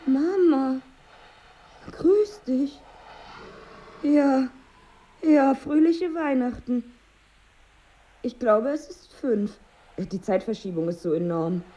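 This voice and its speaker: A woman's voice: high voice, takes it slowly, long pauses, warm tone, dull audio. An actress playing a melancholy, bitter married woman in a radio drama, with a brooding, wry, weary manner and diction. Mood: falsely cheerful. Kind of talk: radio drama monologue and dialogue.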